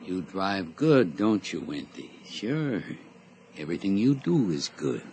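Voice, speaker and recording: An actor playing a sly, menacing middle-aged blackmailer in a radio drama, taunting with mock-friendly, folksy familiarity. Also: male voice, low voice, sing-song, measured pace, a little mellow, faint music behind. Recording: radio drama recording with background noise.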